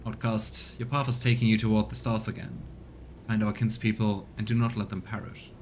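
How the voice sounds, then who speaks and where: A man's voice: on the low side, little pitch movement, a little fast, a little hiss or hum, lightly acted. A man voicing a scornful, grieving alien elf farseer from a game, solemn, haughty and archaic in speech. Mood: grave. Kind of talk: voiced reading of game dialogue. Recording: music behind the voice.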